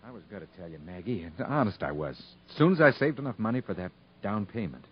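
An actor playing a stubborn, proud rural moonshiner and husband with folksy country talk in a 1950s radio drama. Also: male voice, on the low side, expressive, quick pace, husky. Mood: weary, contrite. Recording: muffled 1950s radio broadcast recording.

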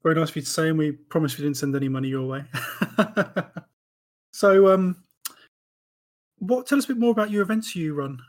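A man with a slightly higher voice hosts a podcast in a relaxed, chatty way. He laughs easily and keeps the talk moving along. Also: normal speed, long pauses. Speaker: podcast host. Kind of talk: casual podcast chat.

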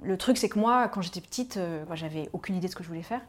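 A woman's voice: on the low side, rapid-fire. A woman, candidly telling her own life story with frequent fillers. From Paris, France. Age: 30s. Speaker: tobacco cessation specialist telling her story. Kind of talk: personal storytelling podcast.

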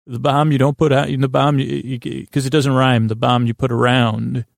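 A man hosting a sleep podcast in a meandering monologue, stumbling over words, circling back and gently goofy and self-deprecating. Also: medium pitch, little pitch movement, moderate pace, vocal fry, dry recording, clean studio sound. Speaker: podcast host.

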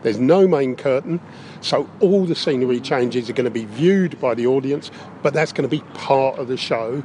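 A man's voice: medium pitch, moderate pace, darkish tone. A man giving upbeat, enthusiastic, unscripted interview answers, recorded on location with some background noise. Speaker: interview guest. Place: inside a big top tent in a park.

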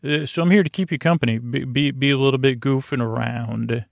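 A man with a gravelly, creaky voice murmurs a meandering bedtime monologue as a sleep podcast host. He wanders gently from tangent to tangent, often hesitating and circling back. The sound is close and very dry, as in a small closet lined with blankets. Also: medium pitch, steady tone, a little fast, dry recording. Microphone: close microphone, monitored on headphones.

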